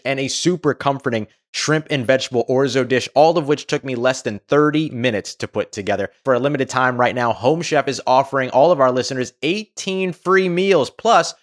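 A man reading a podcast sponsor ad as a friendly personal testimonial. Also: medium pitch. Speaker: ad reader. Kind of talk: host-read podcast ad.

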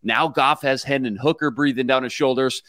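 A man co-hosting a sports podcast, giving brisk, stat-heavy analysis in a prepared way. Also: mid-range voice, steady tone, fairly bright tone, no echo, clean studio sound. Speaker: producer and co-host.

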